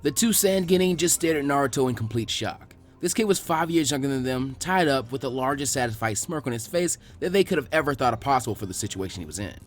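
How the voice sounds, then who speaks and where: A man reading fan fiction aloud as an expressive scripted narration. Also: mid-range voice, fast, few pauses.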